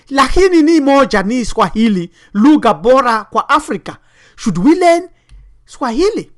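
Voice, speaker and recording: Preachy woman firing rhetorical questions. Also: high-pitched. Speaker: online teacher and commentator. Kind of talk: commentary over web pages.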